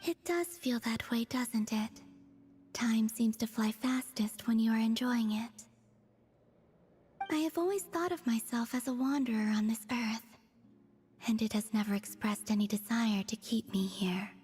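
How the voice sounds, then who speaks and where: A woman voicing a gentle, wistful otherworldly young moon maiden for a video game, speaking softly and breathily in measured, understated phrases. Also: fairly high pitch, fairly even intonation, big-room sound, close to an everyday voice. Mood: gentle, reflective.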